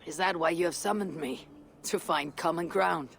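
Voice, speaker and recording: A woman playing a weary, proud Viking warrior in a video game, speaking in a husky, measured, dry way. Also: lowish voice, a little hiss or hum. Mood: guarded, solemn. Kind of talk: dramatic video game acting. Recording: music under the voice.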